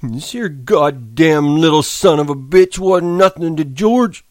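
A man voicing a big, slow-witted, childlike ranch hand who speaks in a drawling, plaintive way. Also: mid-range voice, lively intonation, a little mellow, no echo, clean studio sound, pitched above the performer's own voice, close to an everyday voice. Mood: grieving. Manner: drawling, plaintive, simple speech.

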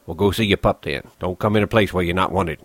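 A man voicing a bitter, lonely older ranch hand who talks gruffly in rough rural dialect. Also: low-pitched, fast talker, no echo.

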